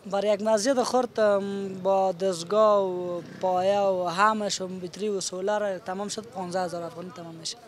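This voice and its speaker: A young Afghan shopkeeper with a Dari accent gives informal interview answers. His voice is high for a man, picked up on a handheld mic held toward him. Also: fairly even intonation, hardly any pauses, a little hiss or hum. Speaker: electronics shopkeeper being interviewed. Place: open-fronted electronics shop on a market street. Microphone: reporter's handheld microphone held toward him.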